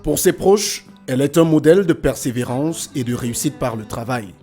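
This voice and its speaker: A man's voice: mid-range voice, lively intonation, moderate pace. A man reading scripted narration for a television portrait. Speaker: documentary narrator. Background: music.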